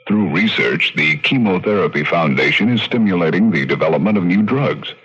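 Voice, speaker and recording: A man's voice: deep voice, lively intonation, fairly quick, a bit bright, breathy edge, soft background music. An American man reading a scripted 1970s public service message on the radio. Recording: muffled old radio broadcast.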